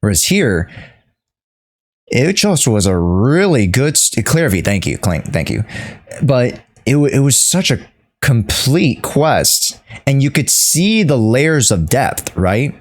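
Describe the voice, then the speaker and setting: A man talking off the cuff to his livestream chat, halting and repeating himself as he searches for words. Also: medium pitch, big pitch swings. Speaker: streamer. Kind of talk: unscripted livestream commentary to chat.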